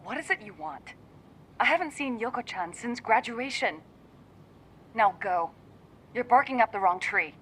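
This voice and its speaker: An actress playing a guarded, irritated housewife, curt and defensive with unwelcome visitors in a video game cutscene. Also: female voice, a little high, lively intonation, measured pace, long pauses, fairly bright tone, a little hiss or hum. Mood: annoyed, guarded. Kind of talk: English-dubbed video game cutscene acting.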